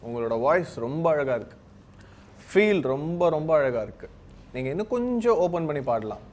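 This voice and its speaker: A man's voice: a little high, sing-song, fairly quick. A man giving encouraging, informal feedback as a singing judge, mixing in English words. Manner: encouraging, gives gentle tips; mixes English words into Tamil. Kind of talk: informal spoken feedback to contestants. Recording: clearly noisy room ambience.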